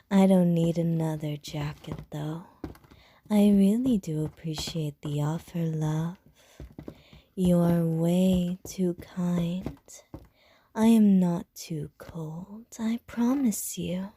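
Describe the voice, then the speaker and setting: A woman voicing a seductive, teasing ancient female vampire in an ASMR audio roleplay, speaking in a soft, breathy whisper. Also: fairly low pitch, slight background noise. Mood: flirty whisper.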